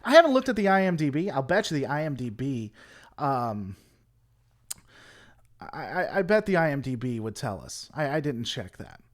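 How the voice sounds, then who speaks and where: A man's voice: medium pitch, big pitch swings, normal speed. A man hosting a casual livestream, rambling through an opinionated critique and answering chat. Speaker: livestream host.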